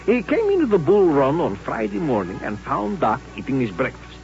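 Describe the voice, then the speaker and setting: A man's voice: mid-range voice, wildly swooping pitch, normal speed, a little mellow, faint music behind. A man acting as a folksy Old West storyteller, narrating an old-time radio drama. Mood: storytelling. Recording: muffled old radio recording.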